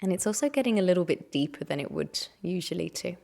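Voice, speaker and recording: Low-voiced woman guiding meditation, lightly creaky. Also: animated. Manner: gentle, unhurried instruction with soft invitations and questions. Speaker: meditation guide. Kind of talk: guided meditation.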